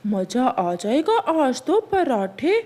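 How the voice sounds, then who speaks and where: Woman as a sly little crow boy, swooping pitch. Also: sing-song, takes it slowly, a little mellow, heavily acted. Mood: gleeful, greedy. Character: sly greedy young male crow schoolboy. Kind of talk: children's cartoon story voice.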